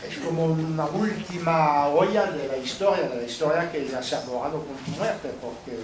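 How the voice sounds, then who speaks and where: A middle-aged man with a French accent, a film director speaking haltingly after a screening, often restarting his phrases. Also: fairly high pitch. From France. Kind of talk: post-screening Q&A. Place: cinema hall. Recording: echoey hall from far off with noise.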